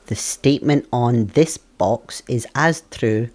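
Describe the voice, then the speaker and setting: A man's voice: medium pitch, slow, darkish tone. A young Scottish man streaming a puzzle game, chatting with viewers, thinking aloud and laughing often. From Scotland. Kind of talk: live game stream chat.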